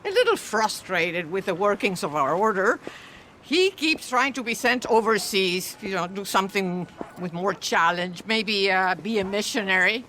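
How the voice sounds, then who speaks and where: A woman playing a kindly, reflective, gently amused older nun in a video game cutscene. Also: medium pitch, lively intonation, moderate pace, slight background noise. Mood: friendly. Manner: gentle, reflective, good-humoured.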